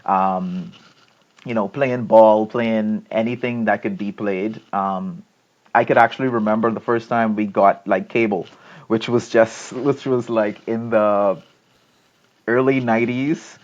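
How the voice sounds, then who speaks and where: A man in his thirties from the Bahamas with a Bahamian accent, chatting as a podcast guest in a relaxed, storytelling way. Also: fairly low pitch, unhurried. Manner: relaxed storytelling with frequent ums and laughs. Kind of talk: casual interview chat. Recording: dull lo-fi remote audio.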